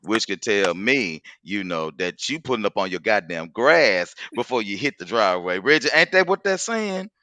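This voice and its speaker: A man's voice: lowish voice, very animated, moderate pace, hardly any pauses, sharp tone, slight background noise. A man hosting a casual podcast chat, talking in a loose, rambling way and swearing freely. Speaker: podcast host.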